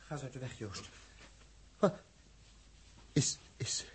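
An actor playing an agitated, guilt-ridden technician on a space crew in a 1960s radio drama, stammering and repeating words. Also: male voice, mid-range voice, animated, slow. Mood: shocked. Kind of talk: 1960s Dutch radio play acting. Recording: noisy old 1960s radio recording.

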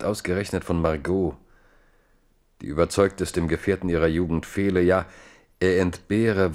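Give a historical radio play its chronicler, with a very deep voice. He narrates gravely and deliberately, in literary phrases that he sets out with care. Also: a little mellow. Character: grave male chronicler of a king's fate.

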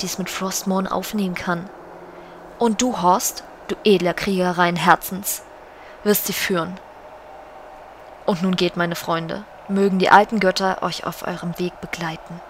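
A woman's voice: lowish voice, a little hiss or hum, close to an everyday voice. A woman playing a regal, solemn, commanding undead banshee queen in an audio drama, speaking in a stately, formal way. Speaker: voice actor in a parody audio drama.

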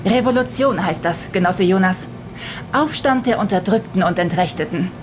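An actress plays a young female guerrilla fighter with a mid-pitched voice. She is talkative, bossy and declamatory like a true believer, speaking in a radio play over clear background noise. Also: lively intonation, normal speed, natural voice. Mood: fervent. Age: young adult. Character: Mira, young female urban guerrilla, bossy, fervent, chatty revolutionary. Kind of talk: German sci-fi radio drama dialogue.

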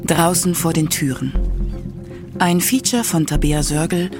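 A man with a fairly high voice narrates a scripted radio feature. Music plays beneath his documentary narration. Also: unhurried. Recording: music under the voice.

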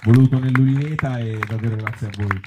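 A man with a Tuscan accent talking informally to a live audience, halting as he searches for words, with wry irony. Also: mid-range voice, a loud crowd, heavy background noise. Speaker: author and actor, featured guest at a reading event. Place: outdoor evening festival in an olive grove. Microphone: small microphone. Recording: dull, muffled live sound.

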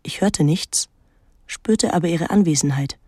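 An actress playing a troubled, watchful daughter who narrates an island mystery in a measured, literary way. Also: female voice, sits low, dark tone, husky, dry recording, studio quality. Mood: restless, brooding. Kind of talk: literary radio drama narration and dialogue.

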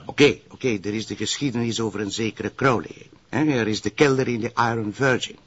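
An actor playing a sceptical, cautious police detective in a TV crime drama, arguing in halting bursts with a Flemish accent. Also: male voice, on the low side, a bit bright, husky, muffled recording. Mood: sceptical, insistent. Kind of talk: naturalistic TV crime drama acting.

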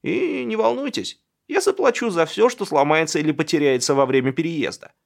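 A man's voice: fairly high pitch, expressive, a little fast, vocal fry, pitched above the performer's own voice. A man voicing a defiant professor turned landlord in an audiobook, taunting in formal legal phrases and barely hiding his glee. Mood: smug.

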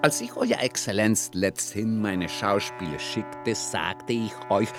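Male reader, formal literary delivery, mid-low and unhurried, instrumental music behind. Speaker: reader of dedication and chapter titles. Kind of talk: formal scripted literary reading.

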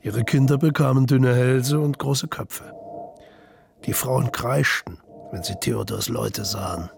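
A man with a creaky, gravelly voice reading scripted radio drama narration in staccato sentences. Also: medium pitch, takes it slowly. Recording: noticeable ambient background noise.

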